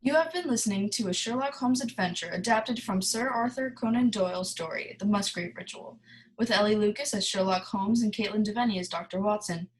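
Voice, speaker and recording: A woman playing a bright, polished male radio announcer with smooth patter, in 1930s-style radio announcing. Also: mid-range voice, a little fast, close to an everyday voice, poor audio.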